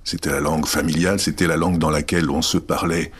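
A man in his fifties, born in Czechoslovakia with Czech as his first language, thoughtfully recalling his childhood in an interview with frequent pauses. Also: very deep voice, fairly even intonation, slightly rough. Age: 50s. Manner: thoughtful, hesitant with many pauses. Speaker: interview guest. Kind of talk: reflective personal interview.

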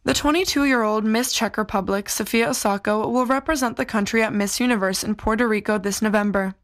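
A woman presents a radio news magazine, reading her script smoothly and evenly. Her voice sits slightly high for a woman. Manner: smooth, even read.

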